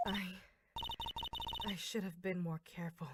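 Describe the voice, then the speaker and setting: A woman playing a calm, kindly professional older sister in a video game fan dub, with composed delivery. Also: on the low side, unhurried, heavy background noise, lightly acted. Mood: tense.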